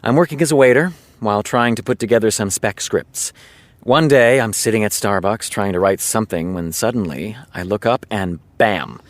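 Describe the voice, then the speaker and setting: Casting a man for audio drama: easygoing, earnest TV writer, fairly deep, dry studio sound, naturalistic confiding delivery. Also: no room sound, close to an everyday voice. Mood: earnest, nostalgic. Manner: casual, confiding, storytelling with dramatic pauses. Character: easygoing male TV showrunner, chatty earnest raconteur.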